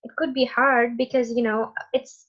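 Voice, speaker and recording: A woman whose first language is Urdu speaks in a fairly high voice, encouraging and reflective, now and then pausing on fillers. The sound is a little dull and lo-fi. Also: level tone, a bit bright. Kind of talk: casual conversation.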